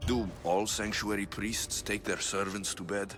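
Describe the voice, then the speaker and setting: An actor playing a blunt, determined Spartan mercenary in a video game, with a sardonic edge. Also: male voice, on the low side, fairly even intonation, unhurried, a bit bright, faint music behind. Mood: scornful, accusing. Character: blunt, determined male Spartan mercenary searching for his mother.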